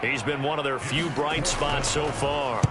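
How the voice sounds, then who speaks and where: A man's voice: medium pitch, steady tone, a little slow, lightly acted. A man acting as a polished American TV football play-by-play announcer, with a projected broadcast delivery. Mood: focused. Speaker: play-by-play commentator. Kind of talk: football game commentary. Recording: loud game stadium noise behind.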